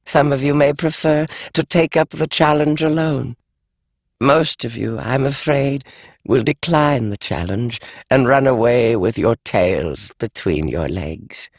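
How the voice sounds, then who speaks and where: Elderly grandmother voiced by a male reader, slow and husky, dry close-miked sound. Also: medium pitch, dry recording, voice pushed up. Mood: grave. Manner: grave, measured, solemn. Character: elderly grandmother speaking in a recorded will video. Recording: dry studio audiobook.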